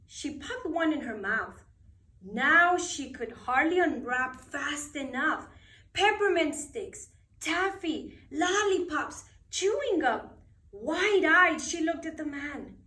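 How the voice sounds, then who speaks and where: High-pitched woman reading a bedtime story, roomy. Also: expressive, pushed and bright. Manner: dramatic, deliberate phrasing for children. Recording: roomy echo, distant microphone.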